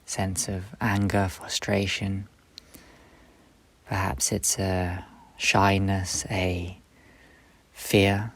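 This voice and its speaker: A man leading a guided meditation, speaking slowly and gently in short, soft phrases with long pauses. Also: low-pitched, gravelly, husky, no room sound. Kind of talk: guided meditation, instructional and soothing.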